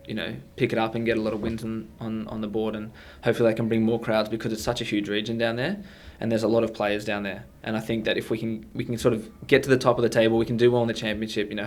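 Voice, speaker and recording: A young Australian man, a footballer, answering questions in a casual interview. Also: medium pitch.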